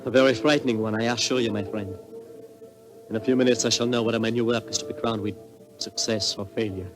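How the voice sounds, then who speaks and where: A man's voice: medium pitch, fairly even intonation, quick pace, close to an everyday voice. Male actor as humble nervous composer, earnest, formal, intense, vintage radio drama, light music behind. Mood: anxious. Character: solemn, anxious Hungarian composer, humble and intense. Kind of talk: 1940s radio drama acting. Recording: vintage 1940s radio recording.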